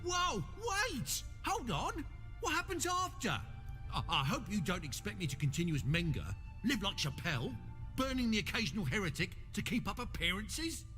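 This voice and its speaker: A meek, excitable shapeshifter in a fantasy game. The high male voice swoops wildly as he frets, ringing with reverb over music. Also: moderate pace, full character voice. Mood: fretful. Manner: fretful, questioning. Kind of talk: video game voice acting.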